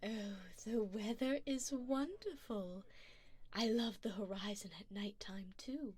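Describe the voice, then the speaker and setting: A woman playing a wealthy, seductive vampire in an ASMR roleplay, doting yet predatory, with a poised, formal, teasing and breathy delivery. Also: medium pitch, slow, a little mellow. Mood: smooth, persuasive. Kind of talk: ASMR roleplay, addressing the listener.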